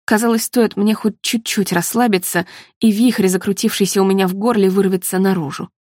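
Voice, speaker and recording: A woman reading literary narration in a measured, expressive way. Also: medium pitch, no echo, clean studio sound. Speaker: audiobook narrator.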